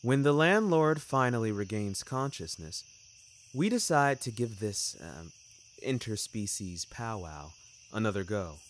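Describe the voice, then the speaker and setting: A man's voice: lowish voice, animated, unhurried, slight background noise. A young man reading scripted first-person fiction, carefully phrased, in a literary and dramatic manner. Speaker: narrator and author of a fiction podcast.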